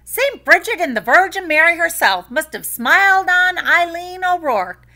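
Storybook: woman voicing a gossiping village woman, high bright exclaiming voice. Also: very animated, normal speed, heavily acted. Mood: amused.

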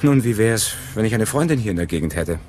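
A man's voice: fairly low pitch, animated, fast, a little mellow, a little hiss or hum, lightly acted. A man playing a wry, persistent friend in a German radio crime drama, speaking in a dry, pointed way. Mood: wry, probing.

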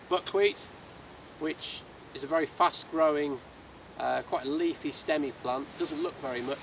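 A middle-aged British man giving an informal, matter-of-fact explanatory talk out in an open field. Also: medium pitch. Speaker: seed seller giving a farm walk talk. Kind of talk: informal outdoor demonstration talk. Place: outdoors in an open farm field. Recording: distant mic outdoors, clear ambient noise.

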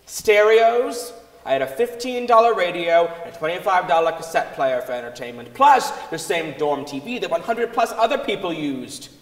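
Young male reader for staged dramatic reading, higher pitch, reverberant concert hall. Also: sing-song, moderate pace.

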